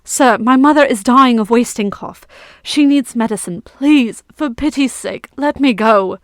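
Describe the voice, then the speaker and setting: Terrified young man on the verge of tears, raised high and pleading, voiced by a woman narrator, dry sound. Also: rapid-fire, fairly bright tone, no echo, close to an everyday voice. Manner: shaky, tearful begging.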